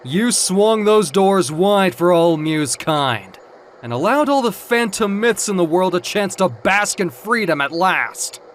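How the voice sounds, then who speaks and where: A man's voice: sits high, lively intonation, a little slow, a little hiss or hum, voice pushed up. Man playing a theatrical, mocking male demon. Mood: grandly praising. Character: suave, mocking demon maker, theatrical and grandly patronizing. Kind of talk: audio comic book drama.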